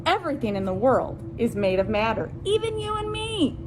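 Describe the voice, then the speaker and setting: A woman presenting a children's science storytime, reading aloud deliberately and speaking to young listeners as friends with simple questions. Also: high-pitched, big pitch swings, background noise.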